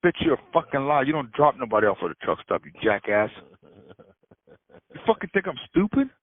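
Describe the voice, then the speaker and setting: Animated cursing man on a phone line. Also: medium pitch, brisk. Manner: animated, swears a lot, laughs often. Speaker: freight broker. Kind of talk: heated casual phone talk. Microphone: phone call.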